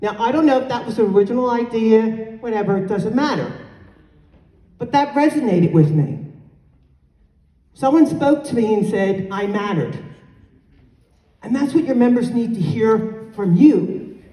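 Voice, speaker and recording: A middle-aged woman giving a lively motivational address at a conference, with a loud voice that she sometimes pushes to a yell. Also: a little high, unhurried, pauses often. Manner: loud, animated, moves the mic away to yell. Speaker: conference speaker. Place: large hotel conference hall. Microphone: handheld mic, filmed from far off. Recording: echoey hall, recorded from far off.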